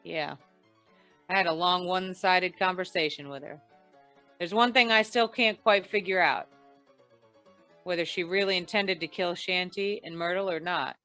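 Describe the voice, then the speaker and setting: A woman playing a tough, wry female insurance investigator in an old-time radio noir, clipped, deadpan and probing. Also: lowish voice, normal speed, long pauses, soft background music. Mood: dry, matter-of-fact.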